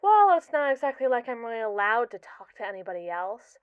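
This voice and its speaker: A young woman voicing a haughty, scheming captive robot AI in a scripted roleplay, speaking in an arch, mocking and wordy way with slow, drawn-out emphasis. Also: fairly high pitch, sing-song, smooth, no room sound, muffled recording. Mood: sardonic. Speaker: ASMR roleplay performer. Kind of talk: scripted ASMR roleplay monologue.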